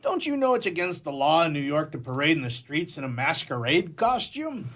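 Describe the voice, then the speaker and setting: A man's voice: fairly high pitch, big pitch swings, a little fast, fairly bright tone. A man playing a scolding but kindly policeman in a live radio comedy. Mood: indignant.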